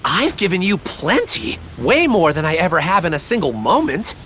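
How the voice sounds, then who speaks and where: A man playing a flippant, mischievous young demon scientist in an animated video game. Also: sits high, normal speed, soft background music. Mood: irritated warning. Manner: flippant, casual. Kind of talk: video game villain acting.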